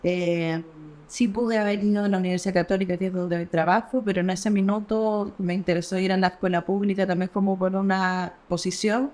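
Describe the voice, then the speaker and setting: A woman's voice: medium pitch, fairly even intonation, fairly quick, a little mellow. A woman in her forties with a Chilean accent, an architect and academic, talking thoughtfully in an interview with many hesitations and restarts. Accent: Chilean Spanish. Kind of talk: reflective conversational interview.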